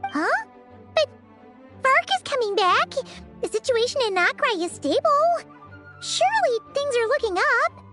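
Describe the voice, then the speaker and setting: A woman voicing a tiny, excitable, childlike fairy companion in a video game, with an exclamatory manner. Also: extremely high pitch, unhurried, pauses often, over music, exaggerated voice. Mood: puzzled. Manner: exclamatory, refers to herself by name.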